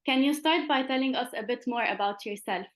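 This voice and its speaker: Woman interviewer, kinda high voice, polite and formal intro. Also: a little fast. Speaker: interviewer, host.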